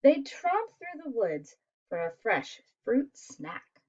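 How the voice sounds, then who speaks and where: Female picture-book reader, unhurried and expressive, with a high voice, on a slightly lo-fi recording. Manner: expressive, asks listeners questions. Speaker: storyteller. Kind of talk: animated children's picture book read-aloud.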